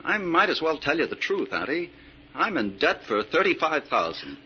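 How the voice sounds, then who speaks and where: An actor playing a polite, evasive young nephew with a gambling habit in an old-time radio drama. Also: male voice, on the high side, big pitch swings, moderate pace, pushed and bright. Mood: sheepish, pleading. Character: smooth-talking, debt-ridden nephew, a weak gambler. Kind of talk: 1940s radio drama acting. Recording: muffled, noisy old radio recording.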